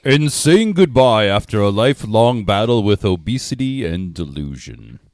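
A man's voice: fairly low pitch, big pitch swings, moderate pace, a little mellow, no room sound, studio quality. A man playing a mock-dramatic film trailer announcer, reading scripted promo narration. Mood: mock solemn.

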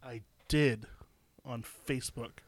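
A man's voice: mid-range voice, steady tone, slow, no echo. A man co-hosting a podcast in casual chat, nasal from a stuffed-up nose, with dry joking asides, recorded in a cold basement. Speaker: podcast co-host.

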